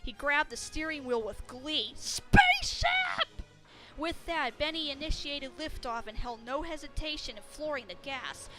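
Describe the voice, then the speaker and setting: A woman reading a story aloud as a dramatic, scripted narration. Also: sits high. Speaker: fan fiction narrator.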